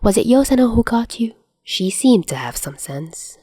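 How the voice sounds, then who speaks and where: A woman's voice: a little high, big pitch swings, normal speed, mellow, breathy edge, no room sound, voice pushed up. A woman voicing an irritable, cocky young man with a curt, snappish, sardonic delivery in an audiobook story. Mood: dry, sardonic.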